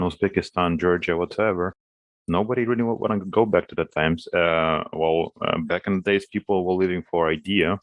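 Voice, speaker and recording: A man's voice: low voice, fairly bright tone, no room sound. A young man from Ukraine with a Russian-speaking Ukrainian accent, chatting informally in English with many fillers. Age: young adult. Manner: informal, uses fillers like "like" and "well". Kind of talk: casual conversation.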